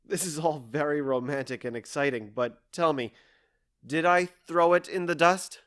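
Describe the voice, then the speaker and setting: Actor doing hurt but stoic guy, slightly high voice, formal n sardonic, audio play. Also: normal speed, fairly bright tone, close to an everyday voice. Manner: formal, restrained, dryly ironic. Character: stoic, wounded, sardonic man being left by love. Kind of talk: stage-style audio drama acting.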